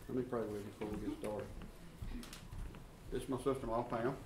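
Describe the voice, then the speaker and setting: A man introducing a guest teacher and praying, halting with fillers. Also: medium pitch, fairly even intonation, distant mic. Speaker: church class leader. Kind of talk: introduction and prayer. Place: church classroom. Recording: roomy echo, from off mic, faint room noise.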